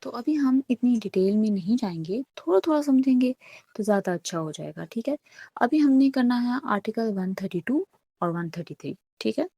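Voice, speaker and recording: A woman's voice: on the high side, animated, normal speed, gravelly, warm tone, dry recording. A woman lecturing as a law teacher, explaining step by step, posing questions and answering them, with English legal terms mixed in. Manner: didactic, poses questions then answers them, frequent check-ins.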